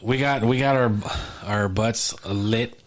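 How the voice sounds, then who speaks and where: Low-voiced rambling podcast co-host man. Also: slow pace. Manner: rambling, many restarts and fillers. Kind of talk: casual chat.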